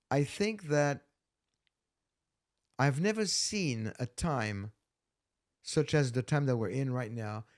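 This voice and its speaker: Male panelist, reflective and measured, lots of "uh"s and pauses, middle pitch. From France. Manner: reflective, measured, many fillers. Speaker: ministry teacher, panelist. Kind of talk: casual panel discussion.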